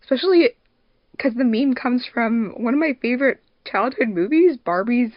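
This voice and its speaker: A woman's voice: fairly high pitch, animated, normal speed, no room sound, dull audio. A woman co-hosting a podcast in an informal, giggly, slang-filled way, chatting casually over a remote voice call. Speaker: podcast co-host, fandub production crew member and editor.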